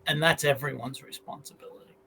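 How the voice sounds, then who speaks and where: A man's voice: on the high side, fairly even intonation, fairly quick, gravelly, sharp tone. A man on a livestream panel, earnestly telling a story from his career to make his point.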